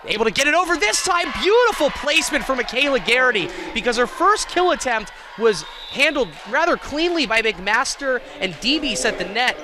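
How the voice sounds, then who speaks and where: A man calling live college volleyball play-by-play in an excitable, self-deprecating way. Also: very high voice, brisk, few pauses. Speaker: play-by-play sports commentator. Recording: clear gym noise, bouncing balls. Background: indoor volleyball match ambience.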